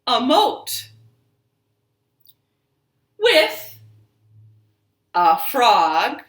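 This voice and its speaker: A woman hosting storytime for young children, speaking in an expressive, encouraging sing-song way in a living room. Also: on the high side, very slow. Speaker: children's storytime librarian host. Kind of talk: children's storytime, talking and reading aloud to young kids. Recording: roomy home living room echo.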